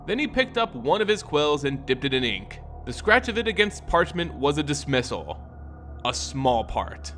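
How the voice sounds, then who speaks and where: A man narrating a scripted fantasy story in a theatrical, measured, dramatic way. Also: on the high side, very animated, a bit bright. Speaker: lore channel narrator. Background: background music.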